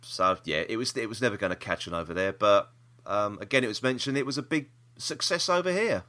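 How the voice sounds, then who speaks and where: A man's voice: lowish voice, a little fast, a bit bright. A British man hosting a podcast in casual chat, hesitant and rambling, often repeating himself. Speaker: podcast host.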